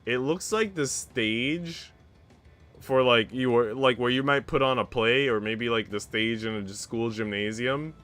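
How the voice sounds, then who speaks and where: A man's voice: mid-range voice, a little slow, a bit bright, faint music behind. A man streaming a visual novel, reading it aloud and breaking off for informal, slangy comments. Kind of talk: visual novel read-aloud with casual asides.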